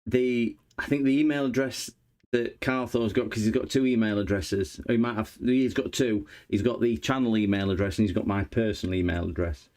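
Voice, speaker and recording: Man, lowish voice, easygoing repair-stream host who chats to viewers as he works. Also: fairly even intonation, normal speed, a little mellow. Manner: chatty, chuckling, replies to viewers while working. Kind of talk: casual live-stream chat while repairing.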